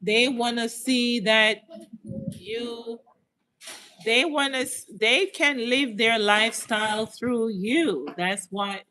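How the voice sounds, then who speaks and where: A woman's voice: on the high side, slow, pushed and bright, a little hiss or hum, rough recording. A woman with a slight Jamaican accent chatting casually on a livestream, reading out viewer comments and answering them. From United States. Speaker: host.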